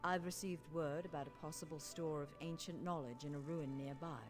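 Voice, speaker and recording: An actress playing a scholarly, businesslike mage official in a fantasy video game, formal and polite. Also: female voice, sits low, steady tone, normal speed, lightly acted. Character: scholarly, businesslike female mages guild official. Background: game music.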